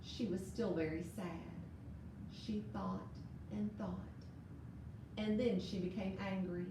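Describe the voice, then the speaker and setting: A woman reads a children's picture book aloud in an expressive storytelling manner. She is recorded in a heavily echoing room, some distance from the microphone, with clear noise in the background. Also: on the low side.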